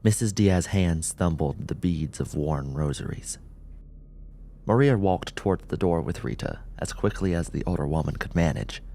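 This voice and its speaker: A man reading a scripted horror story as an audiobook narrator, in a measured, dramatic way. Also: low voice, lively intonation, warm tone, a little hiss or hum.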